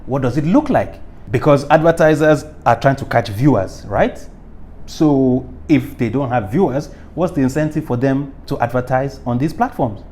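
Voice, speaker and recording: A man's voice: medium pitch, normal speed, darkish tone. A Nigerian man hosting a current affairs show, delivering opinionated commentary in an emphatic, theatrical way with lots of rhetorical questions. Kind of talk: opinionated news commentary to viewers.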